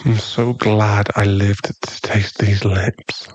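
A man playing a possessive, tender and dangerous mafia boss boyfriend in an intimate, teasing ASMR roleplay, lingering on his pauses. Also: fairly low pitch, level tone, dry recording, highs cut off.

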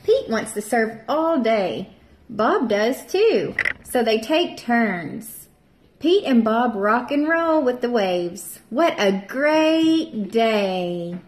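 Young woman teacher reading a storybook to kids at home; slow, sing-song delivery with a bright, higher voice; echoey room, camera at table distance. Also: slight background noise. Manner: slow, expressive read-aloud, bright voices for the characters. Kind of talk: reading a picture book aloud to young children.

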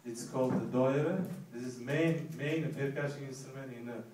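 A man's voice: mid-range voice, a little mellow. Uzbek-accented man in a very echoey hall. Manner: halting, searching for English words. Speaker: percussionist giving a demonstration. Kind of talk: live stage talk introducing an instrument. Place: large theater stage, indoors. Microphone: stage microphone, recorded from the audience. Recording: echoey, noisy theater recording from far off.

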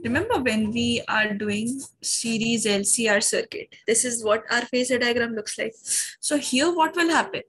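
Husky-voiced Indian woman teaching physics. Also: a little high, normal speed, fairly bright tone. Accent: Indian English. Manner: explains step by step, addressing the class often. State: sore throat. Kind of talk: online class lecture.

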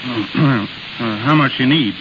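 An actor playing a kindly, nervous carnival pal in a 1930s radio drama, somewhat hesitant. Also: male voice, medium pitch, slow pace, natural voice. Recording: hissy, muffled 1930s radio broadcast.

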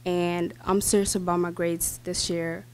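A woman's voice: on the low side, level tone, unhurried. A teenage girl reading a prepared speech at a school board meeting, with fillers and restarts. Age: teens. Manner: reads from notes with fillers and restarts. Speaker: student speaker. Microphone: podium microphone.

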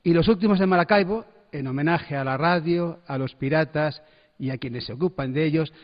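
Higher-voiced Spanish man presenting in a hall. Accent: Castilian Spanish from Spain. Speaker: event presenter. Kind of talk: introducing a round table to an audience. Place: auditorium. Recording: muffled, echoing auditorium recording.

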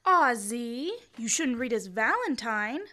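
A young woman in her teens playing a gently chiding, reasonable suburban mother in old-time radio comedy style. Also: fairly high pitch, animated, slow pace, slight background noise, heavily acted. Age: teenager. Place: school library. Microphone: standing stage microphone.

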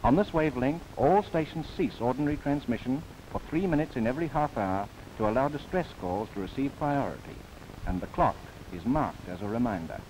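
A man from New Zealand giving formal, measured scripted narration for a 1930s government documentary film, recorded as a studio voice-over. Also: mid-range voice, lively intonation, darkish tone, poor audio. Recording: old film soundtrack with faint hiss.

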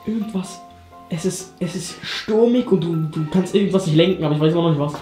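A young man chatting casually and excitedly in as he plays a guessing game with friends. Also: a little high, fast talker. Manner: excited, slangy exclamations. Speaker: player guessing in a pantomime game. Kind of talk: casual joking game chat among friends. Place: echoey room indoors at home. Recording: echoey room with music behind.

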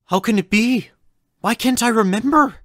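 A man's voice: extremely high pitch, moderate pace, fairly bright tone, dry recording, clean studio sound. A man playing a formal, precise male scientist, easily bewildered, in an audio drama. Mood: confused, agitated.

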